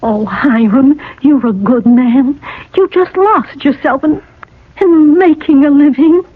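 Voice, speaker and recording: Emotional, high-voiced mother. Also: takes it slowly. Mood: consoling. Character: distressed American mother and wife, reproachful, loving. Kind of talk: radio drama acting. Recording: muffled old radio broadcast.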